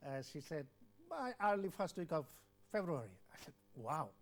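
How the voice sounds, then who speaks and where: A man's voice: a little high, big pitch swings, moderate pace. Earnest older man telling his story. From Bangladesh. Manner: earnest, repeats words, acts out quoted dialogue. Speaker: former WHO country representative. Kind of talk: interview, personal storytelling.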